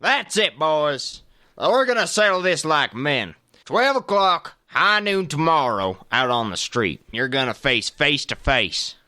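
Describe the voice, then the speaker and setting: Man as a bright, commanding Old West peacemaker. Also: a little high, sing-song, measured pace, full character voice. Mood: stern. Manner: commanding, showman-like. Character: stern, theatrical Old West peacemaker announcing showdowns. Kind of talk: comedy radio play acting.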